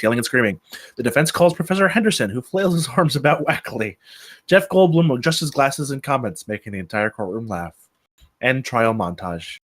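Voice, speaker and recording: A man's voice: medium pitch, no room sound. A man reading out stage directions as narrator at a screenplay table read.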